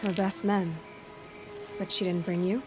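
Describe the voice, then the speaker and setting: An actress playing a determined young huntress in a video game, serious and measured, stern yet compassionate. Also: female voice, fairly low pitch, flat delivery, music in the background, close to an everyday voice. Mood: serious concern. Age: young adult. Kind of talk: dramatic video game acting.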